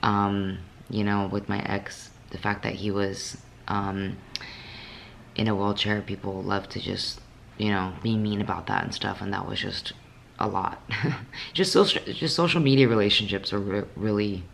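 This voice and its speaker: A young woman with a raspy voice, just woken up, talking candidly in a casual vlog from her apartment, with many fillers. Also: rumbling low, steady tone, normal speed, slight background noise. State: just woke up. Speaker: fitness vlogger.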